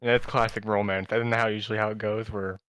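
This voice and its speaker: A man's voice: fairly low pitch, flat delivery, fast talker, fairly bright tone, smooth, no room sound. A man co-hosting a podcast, chatting casually and telling stories in an enthusiastic, rambling way. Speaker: podcast co-host. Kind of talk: casual chat about anime.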